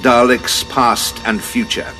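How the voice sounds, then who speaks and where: An actor playing a grave male general in an audio drama, briefing in a measured, formal way. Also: mid-range voice, big pitch swings, bright, forceful tone, soft background music, full character voice, low-quality recording.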